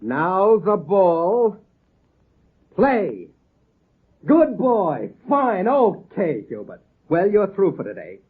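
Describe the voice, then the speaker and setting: A man playing a patient, folksy circus seal trainer, coaxing and a little halting, in a 1930s radio serial. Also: high voice, wildly swooping pitch, breathy edge, full character voice. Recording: tinny, band-limited old radio recording.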